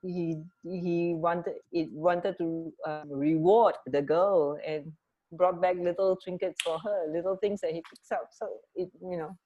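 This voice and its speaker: A woman's voice: fairly low pitch, steady tone, a little slow, mellow, lo-fi sound. A woman co-hosting a radio show in casual, unscripted chat, feeling her way to words as she talks. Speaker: radio co-host.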